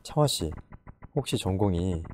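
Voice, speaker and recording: A man reading a storybook, voicing a gentle, curious young woman who speaks softly and asks many questions. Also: deep voice, animated, unhurried. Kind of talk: audiobook character voice.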